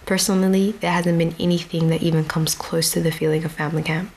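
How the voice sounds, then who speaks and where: Young woman, low even delivery, reflective storyteller with dry asides, faint outdoor hiss behind. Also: fast. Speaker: speaker addressing an outdoor audience. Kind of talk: prepared storytelling speech. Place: outdoor venue with grass and a stage.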